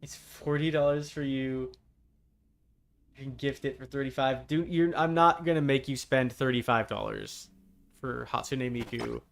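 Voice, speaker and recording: A man's voice: mid-range voice, normal speed, gravelly. A man casually chatting on a gaming live stream, rambling along in a wry, joking way. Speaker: game streamer.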